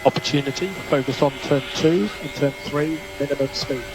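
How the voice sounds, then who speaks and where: A man working as a race engineer, giving measured, clipped instructions over team radio and repeating key calls. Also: fairly high pitch, fairly even intonation. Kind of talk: instructional radio messages to a racing driver. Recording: noisy motor racing team radio.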